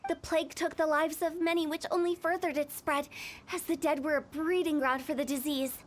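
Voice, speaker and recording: A woman as a high-voiced, theatrical young woman. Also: steady tone, fairly quick, slight background noise, lightly acted. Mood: earnest, storytelling. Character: eccentric young female funeral parlor director, confident, theatrical. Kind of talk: English video game voice acting. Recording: game music playing behind.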